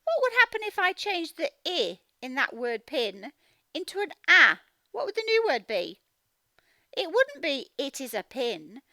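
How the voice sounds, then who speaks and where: A woman's voice: extremely high pitch, huge pitch swings, a little slow, pushed and bright, no echo. A woman reading a storybook as a phonics teacher, sounding out words and putting questions to young children. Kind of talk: interactive storybook read-aloud lesson.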